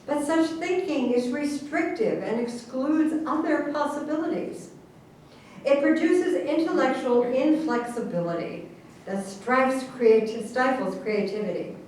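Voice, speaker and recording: A woman's voice: sits high, unhurried, darkish tone. A woman playing an imperious, self-important professor and government official in a staged satirical reading, speaking in a formal, lecturing manner. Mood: earnest, pompous. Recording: echoey room, distant mic, faint noise.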